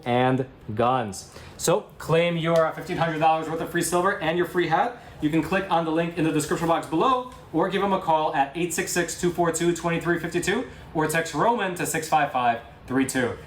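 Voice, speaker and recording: A man doing an informal, enthusiastic sponsor read while showing products to the camera. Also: fairly high pitch. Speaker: ad presenter. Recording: echoey room with faint noise.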